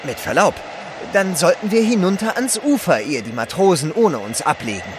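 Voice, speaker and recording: An actor playing a polite, loyal manservant in an adventure audio drama, speaking courteously. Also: male voice, high-pitched, moderate pace, background noise. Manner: courteous, animated.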